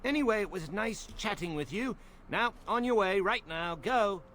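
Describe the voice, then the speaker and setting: A snide, high-voiced guard. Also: male voice, animated, moderate pace, fairly bright tone, a little hiss or hum. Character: snide young male guard at a dock. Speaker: game character.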